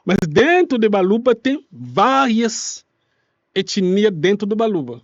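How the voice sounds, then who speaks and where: Congolese guy w accent, pretty high voice, not a native speaker, explaining stuff in an interview, dry sound. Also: sing-song, slow, no room sound, lo-fi sound. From Kinshasa, Democratic Republic of the Congo. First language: Congolese languages such as Lingala. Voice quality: non-native Portuguese with irregular grammar. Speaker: interview guest, refugee rights activist.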